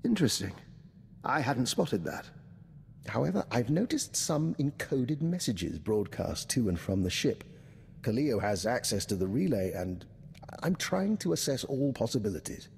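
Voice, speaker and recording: Courteous, sly intelligence officer in reverb. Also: male voice, medium pitch, sing-song, normal speed, gravelly, darkish tone, slight background noise. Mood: curious, discreet. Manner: courteous, formal, precise. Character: polite, inquisitive, faintly sly male intelligence colleague. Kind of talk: video game voice acting.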